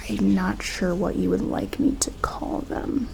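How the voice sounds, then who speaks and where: Soft whispery asmr lady playing a gentle doctor, real slow, kinda gravelly fry. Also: on the low side, sing-song. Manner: soft-spoken, slipping into whispers; gentle, hesitant, reassuring clinician talk. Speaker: ASMR performer playing a doctor examining an alien. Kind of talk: ASMR medical roleplay.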